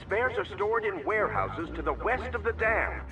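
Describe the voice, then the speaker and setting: A man's voice: high-pitched, wildly swooping pitch, normal speed. An actor playing an urgent male dam worker in a video game cutscene, sounding distant under music.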